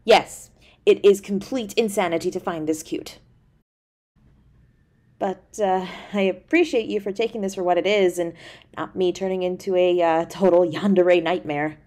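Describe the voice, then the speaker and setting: A woman's voice: lowish voice, fairly even intonation, darkish tone, close to an everyday voice. A woman playing a tender, easily flustered young girlfriend in an intimate audio roleplay, speaking in a hesitant, stammering way. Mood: tender, overwhelmed. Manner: hesitant, stammering, halting delivery.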